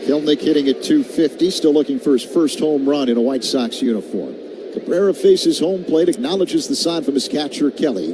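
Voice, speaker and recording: A man doing live radio play-by-play commentary of a baseball game. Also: a little high. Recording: steady background noise.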